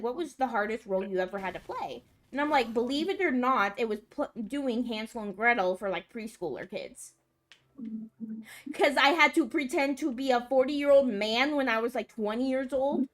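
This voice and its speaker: A woman's voice: a little high, a bit bright. A young woman on a livestream, chatting casually and rambling with many fillers. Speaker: streamer. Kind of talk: casual chat.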